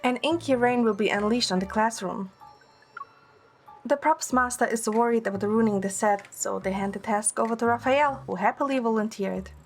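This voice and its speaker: A woman's voice: on the high side, moderate pace, faint music behind, natural voice. A woman playing a wry, affectionate young heroine who narrates her own romance in an audio drama. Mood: narrating. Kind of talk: first-person narration and dialogue in audio drama.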